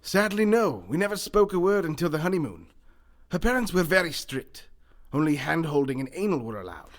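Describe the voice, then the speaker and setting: An actor playing a pompous, wealthy newspaper boss with a grandiose, theatrical manner in a comedic radio play. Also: male voice, fairly high pitch, very animated, fairly quick. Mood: grandly hospitable.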